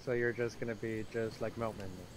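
A man's voice: lowish voice, fairly even intonation, fairly quick, background noise. A man chatting casually with teammates during a video game. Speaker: gaming teammate.

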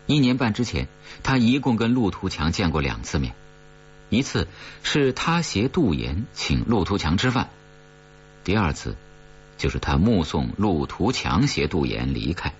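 Male audiobook narrator, low register with lively swings, dramatic suspense delivery, slightly muffled recording. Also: moderate pace, a little hiss or hum. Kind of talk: dramatic scripted horror-novel narration.

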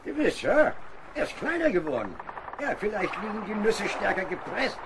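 A man's voice: high-pitched, very animated, normal speed, noisy background. A man playing a respectful, placating ship's master who explains deferentially in a historical radio play.

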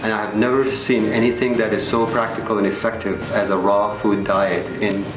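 A man's voice: fairly low pitch, flat delivery, moderate pace. A man, a scientist and nutritionist, speaking in a personal testimonial. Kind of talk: spoken testimonial. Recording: echoey room with music.

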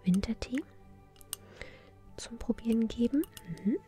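A woman whispers gently and politely close to the microphone, as a shopkeeper in an ASMR roleplay. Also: on the high side. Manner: gentle, unhurried, polite customer service. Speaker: ASMR creator playing a tea shop owner. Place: small indoor room. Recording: soft music behind close whisper. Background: relaxing music.

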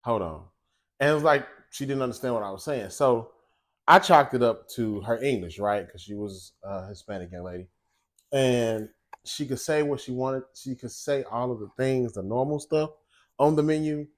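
A man in his forties hosting a casual home cooking show from his kitchen, talking straight to viewers in an animated, chatty way. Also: medium pitch, moderate pace. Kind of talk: casual cooking chat and monologue.

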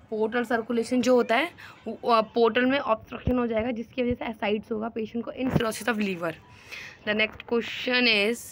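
High-pitched woman slowly reading quiz questions. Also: a little hiss or hum. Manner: mixes Hindi and English, repeats "ठीक है"; reads questions slowly, explains quickly. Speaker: nursing exam tutor. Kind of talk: explaining exam answers. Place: voice-over on screen recording.

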